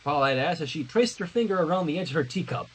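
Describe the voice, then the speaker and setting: Male livestream story reader: high-pitched, husky, theatrical narrator who drops in joking asides. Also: fast talker. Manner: theatrical, animated.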